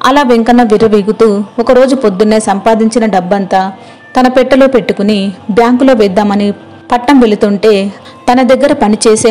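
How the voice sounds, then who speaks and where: A woman's voice: mid-range voice, darkish tone. A woman narrating a scripted moral story as its storyteller.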